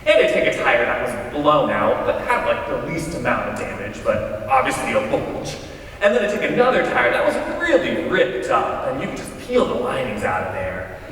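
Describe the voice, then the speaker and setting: A man performing folksy recollections on stage in a readers theater, with an animated manner. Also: medium pitch, normal speed. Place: large reverberant hall, live performance. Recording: distant mic, echoey hall, faint noise.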